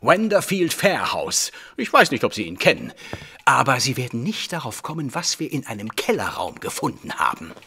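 An actor playing an excitable, easily flustered police inspector in an audio drama, blustering and hurried. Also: male voice, medium pitch, creaky, slight background noise, exaggerated voice. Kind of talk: German audio drama acting.

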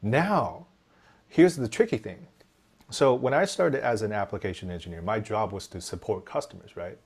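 Guest from Taiwan, forties, lowish voice; lively storyteller who restarts mid-sentence; headset mic on a video call. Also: male voice, normal speed, breathy edge. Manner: animated, gestures while talking, frequent restarts. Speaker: podcast guest, engineer. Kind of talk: casual interview storytelling. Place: home room indoors.

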